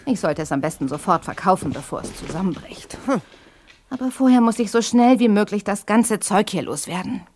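An actress playing an overwhelmed young granddaughter in a German audio drama, talking in a frank, chatty, slightly flustered way. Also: female voice, mid-range voice, animated, fairly quick, darkish tone, a little hiss or hum, natural voice. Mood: flustered, grateful. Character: overwhelmed grieving young granddaughter clearing out an inherited house.

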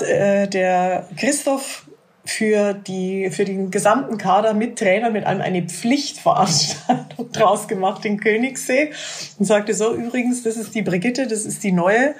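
Woman telling anecdotes. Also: mid-range voice. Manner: lively anecdotal storyteller with hesitant fillers. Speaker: physiotherapist of a national bobsleigh team. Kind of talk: casual podcast interview.

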